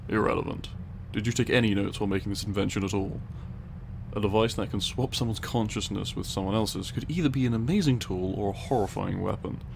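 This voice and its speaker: A man acts out a pedantic tiny male scientist in a comedic audio roleplay, low and gravelly. He is dry, sarcastic and condescending, choosing long, precise words with weary patience. A steady hiss sits behind the voice. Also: brisk. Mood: intrigued, analytical.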